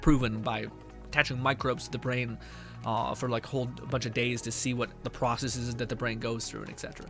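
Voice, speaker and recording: A young man chatting casually on a game live stream, rambling and animated, laughing often and slipping into mock voices. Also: medium pitch. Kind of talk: casual live-stream chat while playing. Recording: game music faint underneath.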